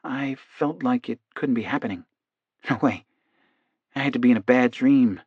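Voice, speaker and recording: A man voicing a meek, earnest, hypnotized male defendant, soft and halting, in an audiobook. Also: mid-range voice, slightly rough, no room sound, lo-fi sound, close to an everyday voice. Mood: hesitant recalling. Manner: soft, halting, half-distracted.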